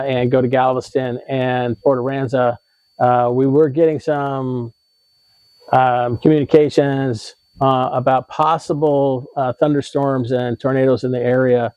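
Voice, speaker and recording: Guy doing a casual how-to vlog, mellow voice, bit halting, kinda lo-fi dry sound. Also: mid-range voice, no echo. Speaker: vlog host giving RV tips.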